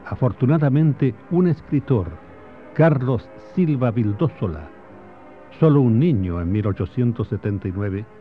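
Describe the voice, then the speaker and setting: A man playing a solemn storyteller, narrating patriotic history and memories in a measured, literary radio drama style. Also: mid-range voice, animated, natural voice. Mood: solemn, reflective. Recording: muffled radio broadcast, faint music behind.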